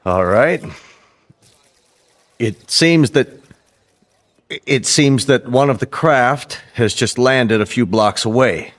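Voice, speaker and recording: An actor playing a flustered senior diplomat in a radio drama, giving formal, halting public addresses. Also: male voice, mid-range voice, close to an everyday voice. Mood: uneasy, halting.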